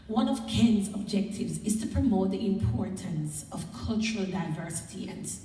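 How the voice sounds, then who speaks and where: A woman with a lowish, dark voice makes a formal introduction as master of ceremonies. She is measured in delivery, and the sound is very echoey, as in a big hall. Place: large indoor event room. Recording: echoey hall, distant, noisy.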